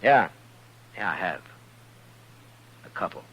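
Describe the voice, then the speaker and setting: An actor playing a weary, stern frontier marshal in a 1950s radio western, speaking in a laconic, dry way. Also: male voice, low voice, animated, slow, a bit bright, breathy edge, exaggerated voice. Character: weary, stern male US marshal in the Old West. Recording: muffled vintage radio recording, faint noise.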